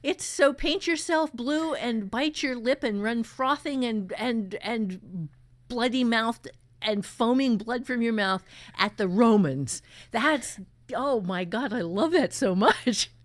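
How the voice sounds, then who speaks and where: Female workshop teacher, expressive and emphatic, conversational delivery, very dry sound. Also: on the high side, takes it slowly, dry recording. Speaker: writing teacher and workshop host.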